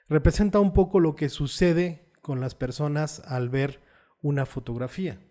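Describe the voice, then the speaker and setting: Male photographer host, warm, mellow tone, patient explainer with examples. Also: a little high. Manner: patient, explains with everyday examples and tag questions. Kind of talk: explanatory video talk.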